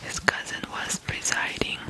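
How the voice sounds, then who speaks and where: An ASMR host from Ukraine, telling stories in a hushed whisper with frequent pauses between short phrases. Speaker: ASMR video host and storyteller. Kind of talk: whispered ASMR true crime storytelling.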